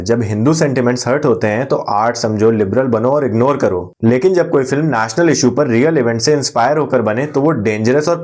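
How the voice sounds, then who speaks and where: A man's voice: mid-range voice, a little mellow. A man with an Indian accent delivering scripted opinion commentary as a video presenter, addressing viewers directly with rhetorical questions.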